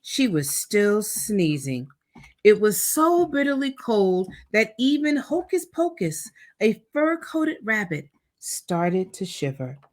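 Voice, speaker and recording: A woman's voice: mid-range voice, takes it slowly. A woman reading a children's story aloud expressively, acting out the dialogue as she goes. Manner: animated, acts out dialogue. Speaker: storytime reader.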